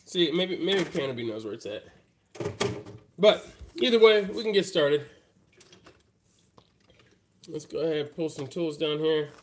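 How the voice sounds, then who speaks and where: A man's voice: a little high, expressive, unhurried, pauses often, a little hiss or hum. A man with a stuffy, congested voice from a head cold, chatting casually with live-stream viewers while working at a bench. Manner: laid-back, chatty, talks straight to viewers. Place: hobby workbench.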